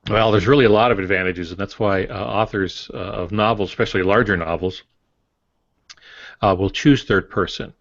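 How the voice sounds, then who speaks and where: An older man co-hosting a writing podcast, explaining in a measured way with hesitant fillers. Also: lowish voice, no echo. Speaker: podcast co-host. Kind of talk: casual writing craft explanation. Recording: dry, dull lo-fi audio.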